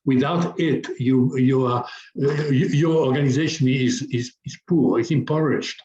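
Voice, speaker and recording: A man's voice: medium pitch, moderate pace, warm tone, reverberant, highs cut off. A middle-aged man from France, a business coach speaking as a guest in an interview, talking hesitantly with many restarts and fillers. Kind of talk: conversational interview.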